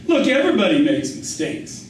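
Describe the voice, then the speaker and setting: A man's voice: high-pitched, huge pitch swings, normal speed. A man reading aloud as a stoic farmer father, folksy and emphatic, telling cautionary tales with rhetorical questions. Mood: wry, instructive. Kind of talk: acted quote within a literary reading. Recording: echoey room, distant mic, faint noise.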